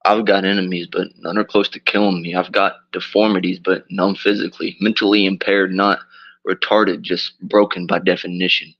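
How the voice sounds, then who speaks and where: A deep-voiced, raspy man performs a dark rap verse at a slow, deliberate pace, a bit drunk and loopy. His delivery stays low and flat. The audio is muffled and lo-fi. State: drunk and loopy after dental surgery. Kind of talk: slow spoken-word rap.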